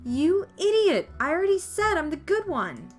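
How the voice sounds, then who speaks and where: A woman's voice: very high-pitched, big pitch swings, moderate pace, voice pushed up. A woman voicing a hot-tempered, proud young witch girl who is secretly shy and kind, in a visual novel read aloud, with music. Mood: indignant, angry. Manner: indignant, arrogant, easily flustered.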